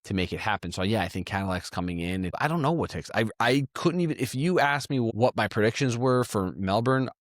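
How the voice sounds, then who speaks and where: A man whose first language is Italian, talking in a casual chat, animated and restarting his sentences as he thinks aloud. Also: lowish voice, fairly quick, few pauses, no echo, studio quality.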